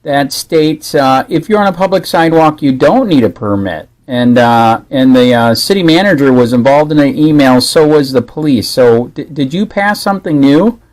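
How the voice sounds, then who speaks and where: A man in a phone conversation, speaking as a caller disputing a rule in a halting, insistent way. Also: fairly high pitch, expressive, a little mellow, poor audio.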